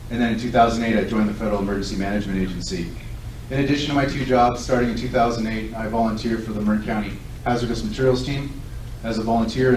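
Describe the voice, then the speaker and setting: A man in his forties speaks fast and earnestly, with a somewhat low voice. He is picked up from far off by a device in an echoey hall, with faint noise in the background. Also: darkish tone. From Southern California. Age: 40s. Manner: fast, earnest, self-assured. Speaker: political candidate. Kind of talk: candidate forum speech and answers. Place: large echoey hall at a public event. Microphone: recorded from a distance by a tablet.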